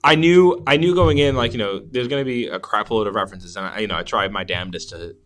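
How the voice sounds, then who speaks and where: A man's voice: fairly low pitch, quick pace, a bit bright. A man hosting a podcast, chatting casually about film in an enthusiastic, rambling way with some swearing. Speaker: podcast host. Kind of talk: casual film review chat.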